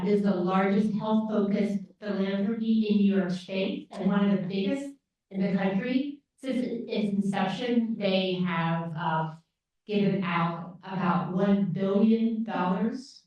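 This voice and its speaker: A woman's voice: medium pitch, a little mellow. Moderator lady introducing a panel, hesitant and chatty, far off mic in a big echoey room. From grew up on a dairy farm. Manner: hesitant, many fillers. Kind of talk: introducing panelists at a live event. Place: large echoey lecture room. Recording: distant echoey hall audio, dull.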